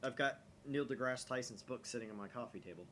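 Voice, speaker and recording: A man's voice: medium pitch, fast talker, pushed and bright. A man chatting casually in a nerdy, rambling way as a gaming host, swearing freely. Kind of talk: casual chat while voicing a visual novel. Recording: faint background noise.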